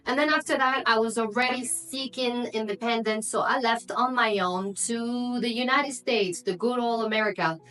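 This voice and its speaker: A woman in her thirties, not a native English speaker, chats straight to camera in a casual vlog. Her pitch sits in a mid range. She sounds upbeat and conversational, with easy fillers between her points. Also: a bit bright, low-quality recording. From Morocco, raised in Belgium. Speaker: vlogger, entrepreneur.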